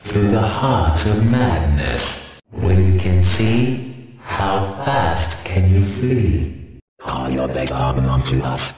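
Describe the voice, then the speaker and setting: A man's voice: deep voice, lively intonation, slow pace. A man playing a threatening animatronic pirate fox, giving theatrical warnings in horror voice lines. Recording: very echoey reverb under loud music.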